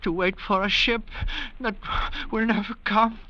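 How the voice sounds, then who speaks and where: An actor playing an anxious, melancholy castaway in an old-time radio drama, plaintive and suspicious. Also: male voice, high-pitched, steady tone, measured pace. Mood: anxious, doubting. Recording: dull, noisy vintage radio recording.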